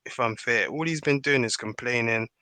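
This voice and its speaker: Man, mid pitch, forceful tone, informal football talk, close dry recording. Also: moderate pace, no room sound. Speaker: podcast panellist. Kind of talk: casual football discussion.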